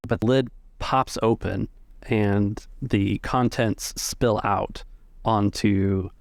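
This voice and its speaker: A man's voice: on the low side, fairly even intonation, slow, vocal fry, no echo. A man narrating scenes as game master of a tabletop roleplaying game. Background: music.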